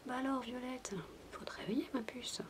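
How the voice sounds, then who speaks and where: Casting: woman as gentle storybook mum, tender coaxing whisper, faint background noise. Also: high voice, little pitch movement, moderate pace, pitched above the performer's own voice, natural voice. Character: gentle mother coaxing her daughter awake. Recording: noisy homemade recording.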